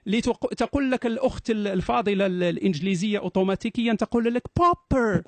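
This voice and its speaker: A man with a high-pitched voice argues in a live debate. He talks quickly, with a sarcastic, protesting edge, and the sound is close and dry. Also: dry recording. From Morocco.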